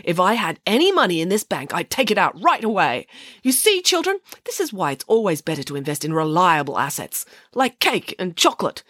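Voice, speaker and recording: A woman playing a bossy storybook pig nanny, full of indignation. She speaks emphatically and haughtily, with sweeping pitch and a dry sound. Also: wildly swooping pitch, a little fast, fairly bright tone, dry recording, exaggerated voice. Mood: outraged, haughty.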